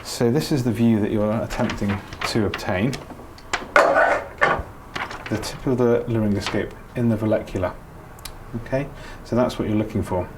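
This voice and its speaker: A man's voice: fairly low pitch, normal speed, long pauses, heavy background noise. A middle-aged man, a doctor, giving spoken practical instruction in an informal, explanatory manner. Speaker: doctor giving a training demonstration.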